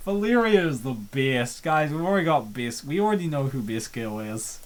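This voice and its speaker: A mid-pitched voice that swings widely: a let's-play commentator who chats loosely and laughs along with the story. The delivery is casual and jokey, with plenty of fillers. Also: sing-song, normal speed.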